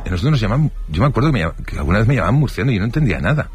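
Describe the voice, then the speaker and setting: Deep-voiced older Spanish man reminiscing. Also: very animated, very fast, loud music behind the voice. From Spain. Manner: rambling, anecdotal, full of fillers and restarts. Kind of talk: casual storytelling interview.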